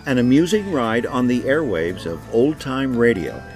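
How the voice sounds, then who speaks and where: A man's voice: mid-range voice, very animated, moderate pace. A man giving scripted narration for a regional documentary program. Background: music.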